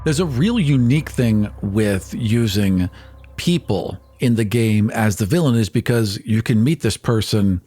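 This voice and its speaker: A man's voice: fairly low pitch, lively intonation, a little slow, mellow. A man co-hosting a podcast, explaining his points in casual discussion. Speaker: podcast co-host.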